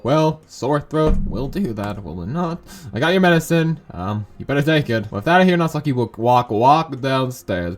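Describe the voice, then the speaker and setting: A hoarse young man with a sore throat reading a visual novel game aloud and breaking off into casual, rambling asides. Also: medium pitch. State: hoarse from a sore throat, voice lower than usual. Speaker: let's play host.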